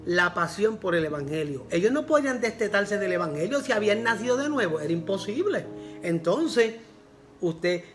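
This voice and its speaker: Pastor, man with a Puerto Rican accent, earnest repeating sermon delivery, faint music bed. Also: high-pitched. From Puerto Rico. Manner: repeats phrases for emphasis, earnest preaching.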